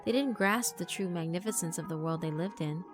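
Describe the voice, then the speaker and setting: A woman's voice: on the low side, fairly quick, lightly acted. A woman voicing a sweet, persuasive female figure who praises the bullies' cruelty. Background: background music.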